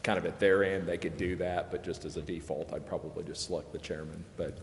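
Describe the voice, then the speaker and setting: A man's voice: low voice, level tone, fast talker. An American man, a lawyer advising a council, explaining informally with a hedging, deferential manner. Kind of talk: informal explanation at public meeting. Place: large indoor council chamber. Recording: very echoey noisy chamber.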